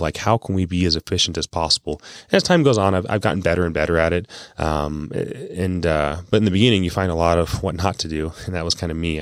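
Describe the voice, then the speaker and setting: Low-voiced man chatting casually. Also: dry recording, clean studio sound. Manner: chatty, informal, rambling. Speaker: investment adviser and show host. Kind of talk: casual monologue on a financial podcast.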